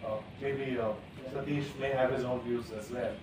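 A man, speaking slowly and carefully on a conference panel. He pauses often and fills gaps with small sounds. He is heard through a table mic from a little way off, in a large hall with strong echo and a faint hiss. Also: mid-range voice, fairly even intonation. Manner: measured, many fillers and pauses. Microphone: table gooseneck mic. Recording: echoey hall, distant from the mic.

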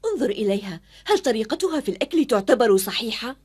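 A woman's voice: a little high, very animated, fast, a little mellow, breathy edge. A woman playing a strict, determined young female teacher in a dubbed cartoon, speaking firmly and earnestly. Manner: firm, earnest, commanding. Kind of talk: Arabic-dubbed cartoon acting.

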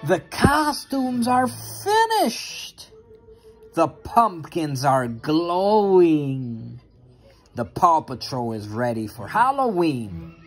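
An expressive reader with a sing-song delivery, reading a children's picture book aloud into a phone at home. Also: mid-range voice, drawn-out words, slightly rough, soft background music. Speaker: storybook reader. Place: carpeted playroom at home. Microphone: handheld phone near the reader.

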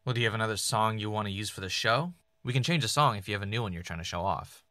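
A man's voice: on the low side, racing, pushed and bright, dry recording, studio quality. A man playing a driven, uneasy podcaster investigating mysterious tapes, earnest and conversational in an audio drama. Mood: casual. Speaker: podcast host.

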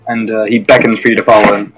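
A man's voice: lowish voice, flat delivery, fast talker, slightly rough, low-quality recording. A man running a tabletop game as dungeon master, narrating with lots of fillers.

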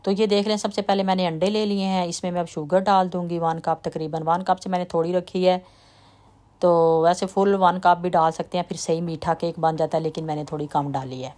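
A woman with a slight lilt, chatting in a relaxed way as she walks through a home baking recipe step by step. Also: fairly low pitch, a little fast. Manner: relaxed, chatty, trails off softly at sentence ends. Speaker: home cooking vlogger. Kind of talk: casual step-by-step baking talk.

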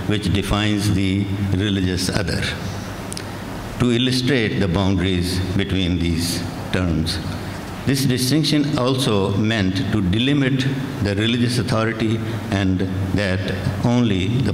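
Older man lecturing from a podium in an echoey hall. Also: lowish voice. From Pakistan. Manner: measured, deliberate reading with occasional restarts. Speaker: keynote speaker. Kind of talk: academic lecture read from notes. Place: large conference hall, at a podium. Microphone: podium microphone on a stand. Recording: echoey hall recording with audible room noise.

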